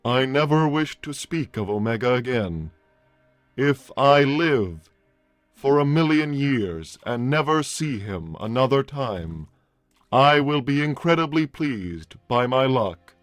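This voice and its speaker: A man plays a robot peace consul in a livestream roleplay. He speaks slowly and formally, each stilted phrase set down deliberately, with old bitterness underneath. The sound is dry and close, with music playing. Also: mid-range voice, animated, dry recording. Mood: bitter. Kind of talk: improvised character roleplay on a livestream.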